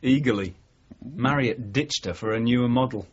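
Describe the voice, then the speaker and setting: An actor playing a measured, questioning male police detective sergeant in a 1980s radio drama. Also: medium pitch, breathy edge, lightly acted. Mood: quietly probing. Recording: dull, muffled radio broadcast recording.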